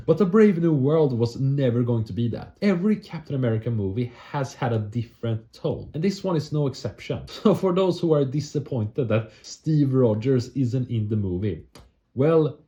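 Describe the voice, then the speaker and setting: A man's voice: medium pitch, dark tone. A young man with a Swedish accent reads a movie commentary script, breaking off into self-mocking asides and laughing at his own jokes.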